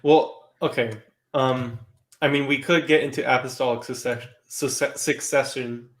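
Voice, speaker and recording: A man in a casual group discussion presses his points with probing, challenging questions. His voice sits at an ordinary middle pitch. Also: steady tone, measured pace, fairly bright tone. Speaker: podcast guest.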